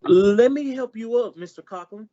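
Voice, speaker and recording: An actor playing an eager, dim, helpful schoolboy with a cheerful, folksy way of talking in old-time radio comedy style. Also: male voice, high-pitched, moderate pace, warm tone, soft background music, natural voice. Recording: dull lo-fi recording.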